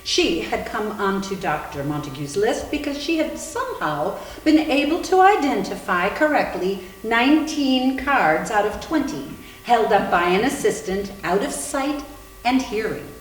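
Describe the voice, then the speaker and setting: A woman's voice: fairly high pitch, very animated, unhurried. A woman reading scripted story narration aloud expressively for a readers theater. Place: indoor room, live library reading. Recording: echoey room with faint hum.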